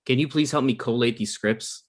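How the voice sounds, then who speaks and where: An actor at a comedy table read playing a petty, self-important production coordinator, dry and argumentative. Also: male voice, mid-range voice, moderate pace, natural voice. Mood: resigned, dry.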